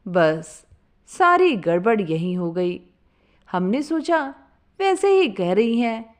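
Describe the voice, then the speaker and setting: A woman narrating a humorous first-person story in an expressive, conversational way. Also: a little high.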